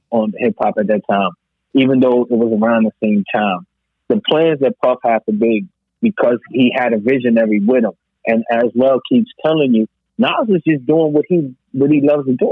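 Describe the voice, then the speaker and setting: A man's voice: medium pitch, expressive, moderate pace, breathy edge, dry recording. A man talking casually as a podcast host in a hip-hop debate, emphatic and repeating phrases to drive points home. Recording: narrow tinny phone-like line.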